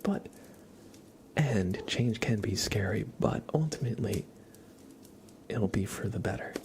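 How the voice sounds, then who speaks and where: A young adult man speaking softly and gently as an ASMR livestreamer, half whispering as he chats casually to viewers. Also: on the low side, expressive, measured pace, vocal fry, a little hiss or hum. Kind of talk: soft-spoken ASMR, casual chat with viewers.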